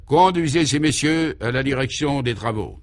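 A man voicing an absurdly logical, easygoing military guard chief in a radio comedy, brisk and colloquial. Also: mid-range voice, animated, voice pushed up, natural voice. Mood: commanding.

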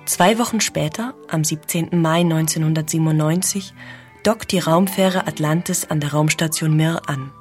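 A woman's voice: low voice, normal speed, soft background music. A woman reading scripted documentary narration as the narrator of an audio feature.

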